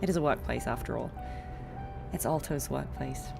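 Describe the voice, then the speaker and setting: A woman's voice: extremely low pitch, normal speed, gravelly. A woman chatting casually and informally to viewers while livestreaming a game. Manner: chatty, informal, chuckles easily. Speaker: voice actress livestreaming gameplay. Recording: faint game music behind voice. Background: faint game music.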